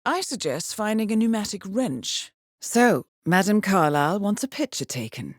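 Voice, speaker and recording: A woman voicing a composed middle-aged intelligence handler in a video game, measured and formal with dry understatement. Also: medium pitch, sing-song, no echo. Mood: measured, businesslike. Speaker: mission handler. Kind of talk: scripted game voice acting, mission briefing.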